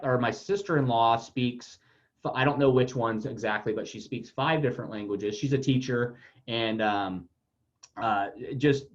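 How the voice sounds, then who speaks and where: A man hosting a casual podcast interview, rambling with many fillers and repeated words. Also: medium pitch. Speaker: podcast host. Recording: dull lo-fi audio.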